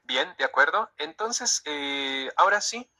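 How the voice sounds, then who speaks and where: A man's voice: on the high side, expressive, a little slow, pushed and bright. A man hosting and moderating a live debate, giving instructions to a speaker and the audience. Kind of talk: spoken moderation, addressing preacher and audience. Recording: live internet stream.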